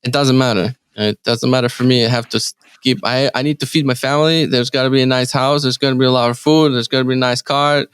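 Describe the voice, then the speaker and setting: A man from Ukraine speaking English as his second language with a Ukrainian accent, answering interview questions in a halting way with many restarts and fillers. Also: mid-range voice, steady tone, smooth, dry recording, clean studio sound. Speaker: real estate team leader, guest.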